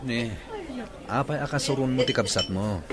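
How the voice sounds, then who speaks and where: A man's voice: medium pitch, normal speed, crowd noise, close to an everyday voice. A man acting a young, easygoing suitor in a radio drama, with an Ilocano accent. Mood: puzzled. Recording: music bed behind the voice.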